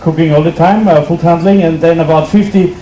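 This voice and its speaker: Higher-voiced Austrian man, echoey stage. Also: fairly even intonation, a little fast, mellow. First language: German. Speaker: executive chef being interviewed. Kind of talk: live stage interview. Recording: echoey stage, faint crowd and noise.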